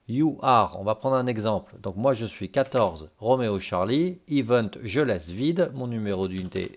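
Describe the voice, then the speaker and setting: Low-voiced man giving a software tutorial. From Brittany, France. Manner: explanatory, checks in with short tags. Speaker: tutorial presenter. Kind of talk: informal step-by-step software tutorial.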